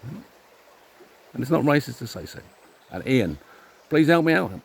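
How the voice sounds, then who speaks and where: A man's voice: medium pitch, very animated, a little slow, vocal fry, a little hiss or hum. Combative English man ranting outdoors. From England. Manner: combative, rhetorical questions, swearing. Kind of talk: opinion rant to camera.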